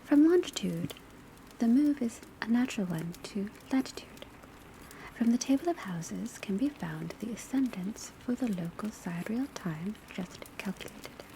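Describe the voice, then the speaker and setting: A woman playing a patient, scholarly witch school professor in a soft, breathy ASMR whisper, lecturing in a measured, precise way. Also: mid-range voice, lightly acted. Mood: patient, instructive. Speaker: teacher in a classroom roleplay. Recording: soft steady background ambience noise. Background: crackling fireplace, pencil writing, rain on rooftop.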